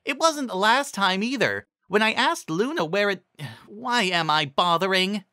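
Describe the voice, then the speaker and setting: A man's voice: sits high, expressive, measured pace, pushed and bright, no echo, pitched above the performer's own voice, heavily acted. A man voicing a proud, weary female unicorn student with a dry, exasperated manner.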